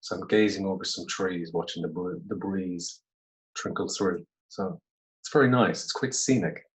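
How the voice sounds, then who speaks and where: A man from Cork with a softened Irish accent, a guest actor in his forties reflecting in a relaxed, musing way during a casual interview. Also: low-pitched, moderate pace, low-quality recording. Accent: Irish, softened by years in London. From Ireland, Cork. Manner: reflective, easygoing, many "I suppose" asides. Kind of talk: casual interview over video call.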